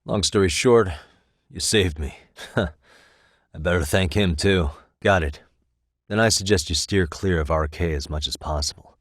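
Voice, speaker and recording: A man acting a determined, streetwise private detective in a video game, with an American accent and a measured, probing, bluntly persuasive way of talking. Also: low-pitched, dry recording, studio quality. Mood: matter-of-fact.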